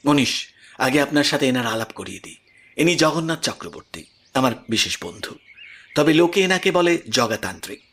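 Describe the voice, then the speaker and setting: An actor playing a composed, learned professor of the occult in an audio horror drama, speaking with assured explanation. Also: male voice, medium pitch, very animated, normal speed, fairly bright tone, breathy edge. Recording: background music under the voice.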